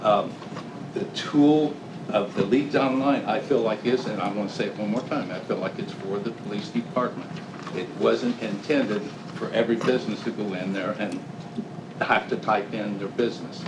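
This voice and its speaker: A man's voice: fairly low pitch, moderate pace. Man arguing at a city hearing. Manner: emphatic, repetitive complaining. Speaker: business owner speaking at public hearing. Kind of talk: public comment at city meeting. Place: small council chamber, standing before audience. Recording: echoey room with background noise.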